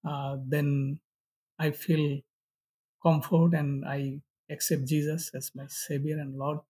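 A middle-aged man from Bangladesh with a Bangladeshi accent and Bengali as first language, giving personal testimony haltingly in non-fluent English. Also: a little high, little pitch movement, warm tone, husky. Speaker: pastor, interview guest.

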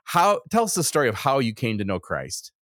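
A man's voice: medium pitch, very animated, a little fast, a bit bright, dry recording, studio quality. A man hosting a religious interview show in a conversational manner. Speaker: host.

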